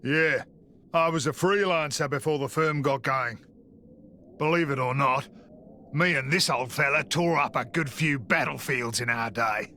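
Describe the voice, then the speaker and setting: Hearty, gravelly mercenary bruiser. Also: male voice, medium pitch, unhurried, pushed and bright, slight background noise. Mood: jovial. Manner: hearty, teasing, slangy. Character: boisterous burly male mercenary, good-natured old comrade. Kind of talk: video game cutscene acting.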